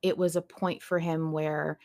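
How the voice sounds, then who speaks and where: A woman with a slightly low voice co-hosts a fan podcast. She talks casually and earnestly, putting real emphasis on her opinions as she goes. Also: flat delivery, measured pace, a little mellow. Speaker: podcast host. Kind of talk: casual fan discussion of an audio drama.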